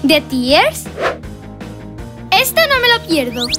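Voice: high-pitched, sing-song, slow, heavily acted. An actor voicing an eager, carefree little baby bandmate in a children's cartoon, breezy and exclamatory, with an accent from Spain. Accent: Spain Spanish. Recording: loud music behind the voice.

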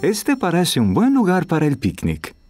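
A man voicing a kind, patient young father in a children's cartoon dub, gentle and reassuring. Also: fairly high pitch, wildly swooping pitch, moderate pace, soft background music.

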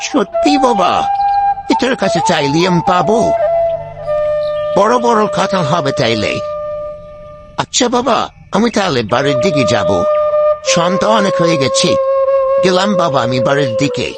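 A man voicing an eager, excitable village husband in an animal fable cartoon, with an exclamatory, giggly delivery. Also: high voice, moderate pace, pauses often, rough recording. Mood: gleeful. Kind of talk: cartoon voice acting. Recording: loud music behind the voice.

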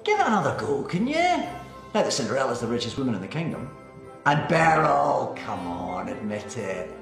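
A man's voice: mid-range voice, wildly swooping pitch, moderate pace, creaky, over music. A man playing a wry, coaxing pantomime character over a video call from home. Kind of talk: comic pantomime acting. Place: room at home. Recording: roomy video-call sound.

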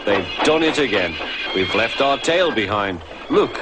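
A man's voice: on the low side, unhurried, over loud music. A man doing a cautious engine driver. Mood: urgent. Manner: warning, steady.

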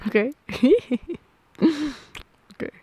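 A woman's voice: extremely slow, dark tone. A woman doing an intimate, often whispered ASMR girlfriend roleplay in a playful, giggly, affectionate manner.

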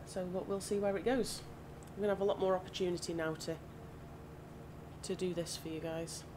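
Croaky-voiced woman chatting while gaming. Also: fairly low pitch, brisk. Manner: chatty, thinking aloud, relaxed. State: slightly croaky from hay fever. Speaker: gaming channel host. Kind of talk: casual gameplay commentary. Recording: audible background noise.

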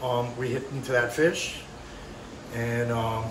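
A middle-aged man, a fishing tournament winner, talking haltingly in a casual interview in a tackle shop. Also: medium pitch, fairly even intonation, far from the mic. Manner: halting, lots of fillers. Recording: roomy, off-mic, noisy.

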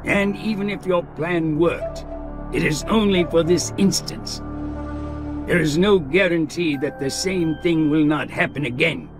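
An actor playing a ruthless, scheming old ninja elder, measured and menacing, in an anime game dub. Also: male voice, fairly high pitch, natural voice. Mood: cold, calculating. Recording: music behind the voice.

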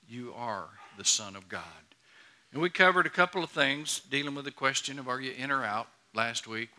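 Bright, forceful middle-aged male preacher. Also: mid-range voice. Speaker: pastor. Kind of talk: sermon to a congregation. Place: large church auditorium, on stage before a congregation.

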